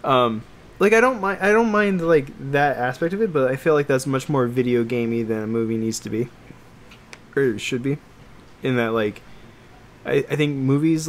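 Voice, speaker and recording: Rambling man chatting over background noise. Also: medium pitch. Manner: rambling and hedging, peppered with "like". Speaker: podcast co-host. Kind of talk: casual chat about games and films.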